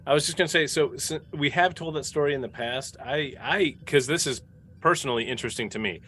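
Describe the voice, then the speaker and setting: A man on a casual panel video call, posing questions in a halting, deliberate way. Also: a little high, pushed and bright. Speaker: cast member asking questions. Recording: noisy video call audio.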